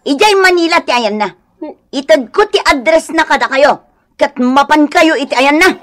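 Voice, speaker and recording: An actress playing a wronged, indignant village wife in a Filipino radio drama, accusing and emotional. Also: female voice, high voice, lively intonation, moderate pace, fairly bright tone. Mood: threatening, wounded.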